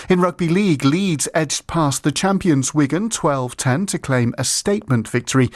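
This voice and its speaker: A man reads a scripted radio sports bulletin. His pitch is middling for a man, and the recording is dry and close. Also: no echo. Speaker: sports news reader.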